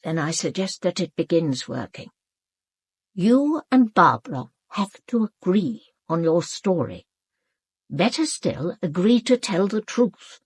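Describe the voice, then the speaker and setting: A woman playing an imperious, sharp-witted elderly aristocratic lady in a British radio drama, with an upper-class English accent and a formal, cutting, controlled delivery. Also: lowish voice, big pitch swings, measured pace, slightly rough, no echo, clean studio sound, full character voice. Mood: cutting, dry. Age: older.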